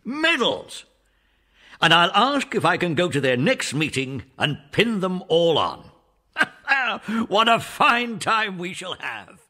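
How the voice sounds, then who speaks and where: A man acts a kindly old British general in a children's audio play. He is hearty and jolly, his pitch lifting high and swinging widely. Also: big pitch swings, slow pace, sharp tone, full character voice. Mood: delighted. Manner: hearty, chuckling exclamations.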